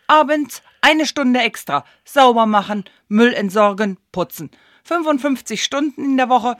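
A woman's voice: a little high, expressive, measured pace, dry recording, clean studio sound. A woman playing a stern, ruthless store sales manager in a German radio play, commanding and haughty.

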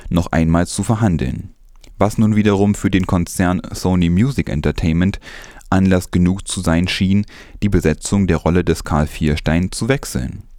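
A man's voice: low-pitched, a little mellow, no room sound, clean studio sound. A man reading scripted news as a presenter.

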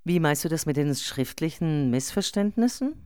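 A woman reading as a thoughtful, nonconformist mother in a radio collage, answering online posts in a measured, reflective way. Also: deep voice, animated, a little mellow, clean tone, dry recording, studio quality.

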